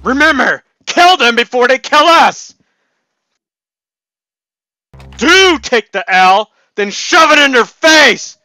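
A man voicing a hyped-up, taunting shooter-game announcer, bombastic and over-excited, in shouted voice lines. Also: very high voice, measured pace, no room sound, faint music behind, pitched above the performer's own voice, heavily acted. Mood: hyped triumphant.

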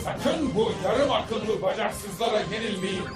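A man voicing a furious alien villain commander in a cartoon dub, shouting menacing orders. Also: high-pitched, a little slow, distant mic. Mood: furious, commanding. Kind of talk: dubbed cartoon villain acting. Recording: echoing voice under loud music, crowd noise.

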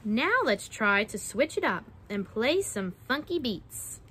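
A woman's voice: fairly high pitch, sing-song, measured pace, pushed and bright, slightly rough. A young adult woman reading a children's picture book aloud expressively, dramatizing the verses and putting questions to her young listeners. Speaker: read-aloud storyteller. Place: outdoors on a wooden backyard deck.

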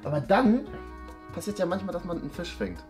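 Fast-talking young man, music behind. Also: high-pitched, expressive, darkish tone. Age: young adult. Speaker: participant in a staged date. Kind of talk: casual improvised chat. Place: indoor studio set at a dinner table.

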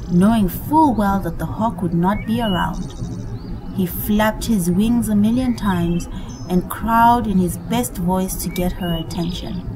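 A woman narrating a scripted folktale for children in an expressive, dramatic storytelling manner. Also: medium pitch, low-quality recording. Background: background music.

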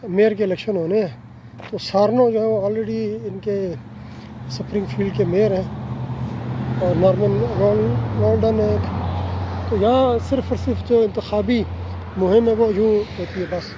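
A Pakistani man with an Urdu accent narrating a walking tour of a quiet residential street, politely pointing out houses as he films on his phone. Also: sits high, fairly even intonation, dull audio, background noise. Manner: polite, unhurried tour-guide commentary with frequent "ji" and "theek hai na". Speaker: travel vlogger. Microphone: handheld phone camera while walking.

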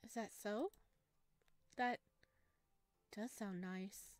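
A woman voicing a gentle, sheepish male forest creature in a visual novel, speaking softly and with naive curiosity. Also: medium pitch, drawn-out words, no echo. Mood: quietly intrigued. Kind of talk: visual novel read-aloud.

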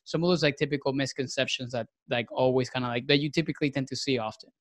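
A man's voice: medium pitch, steady tone, fast talker, no echo. A young man hosting a podcast, asking long rambling questions full of fillers into a studio mic in a small room at home. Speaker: podcast host. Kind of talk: casual interview questions. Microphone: studio mic on a boom arm, with headphones.

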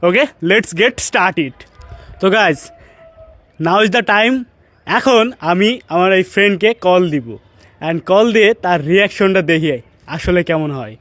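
High-pitched young Bangladeshi man. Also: slow pace, fairly bright tone. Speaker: prank video host. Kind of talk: casual vlog talk mixed with English words.

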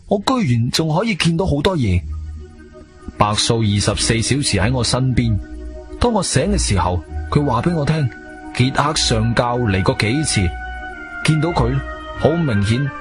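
A man's voice: mid-range voice, close to an everyday voice. An actor with a Cantonese accent playing an adventurer hero who narrates his own ordeal as dramatic scripted storytelling. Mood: reflective. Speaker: first-person narrator. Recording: background music under the voice.

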